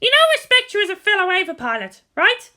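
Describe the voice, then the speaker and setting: A woman's voice: very high voice, wildly swooping pitch, fast, sharp tone, voice pushed up, heavily acted. A woman voicing a brash, fiery teenage girl mecha pilot in a story, blunt and sarcastic. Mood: brisk. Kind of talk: acted story dialogue.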